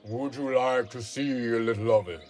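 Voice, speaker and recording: A man playing a mournful, sighing turtle creature in an audiobook drama, with slow, sorrowful delivery. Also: mid-range voice, steady tone, a little mellow, faint music behind, natural voice. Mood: eager. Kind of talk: scripted audiobook character acting.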